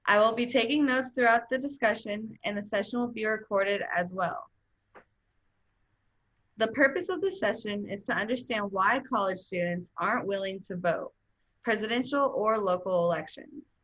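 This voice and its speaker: A woman's voice: medium pitch, moderate pace, rough recording. A young woman moderating a focus group over a video call, laying out rules and asking questions in an organized way. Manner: organized, reads rules aloud in a steady tone.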